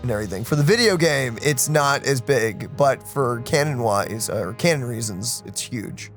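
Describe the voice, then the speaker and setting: A man hosting a let's play with casual, expressive, reactive commentary. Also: medium pitch, normal speed. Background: faint game music.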